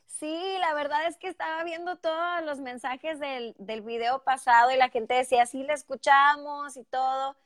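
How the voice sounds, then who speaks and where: A woman's voice: high voice, normal speed, few pauses, no room sound, studio quality. A woman in her thirties from northern Mexico with a strong northern Mexican accent, telling her story as a guest in a casual interview over a video call with headphones. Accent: northern Mexican Spanish. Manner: lively, expressive storytelling. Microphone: headphones with mic on a call.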